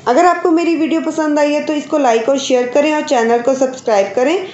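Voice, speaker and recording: A woman with a high voice walks viewers through a home recipe in a calm, explanatory way. Her voice-over rings in an echoey room, with faint music underneath. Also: fairly quick, highs cut off. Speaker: cooking channel host. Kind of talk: step-by-step cooking instruction. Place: home kitchen.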